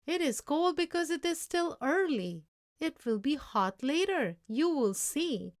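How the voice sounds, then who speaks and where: An earnest young boy pleads his case in a storybook tale, voiced by a woman reader. The voice is raised high, insistent and persuasive, keen to win the grown-ups over. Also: very animated, measured pace. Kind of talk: storybook read-aloud character voice.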